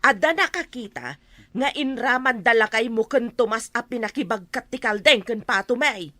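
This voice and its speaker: Sharp, angry Ilocano village matron, played by a woman. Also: on the high side, lively intonation, moderate pace, no echo. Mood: accusing. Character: angry, threatening village matron accusing the neighbours. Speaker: actor in an Ilocano comedy drama. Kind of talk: amateur comedy drama dialogue.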